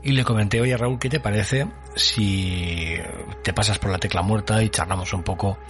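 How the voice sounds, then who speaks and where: A man presenting a podcast as host, narrating a scripted introduction with measured, colloquial phrasing and dramatic pauses. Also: fairly low pitch, steady tone, fairly bright tone, soft background music.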